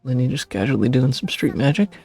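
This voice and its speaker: A man's voice: mid-range voice, expressive, quick pace, gravelly, a little mellow, breathy edge, faint music behind. A man streaming a game with casual, offhand commentary and amused asides.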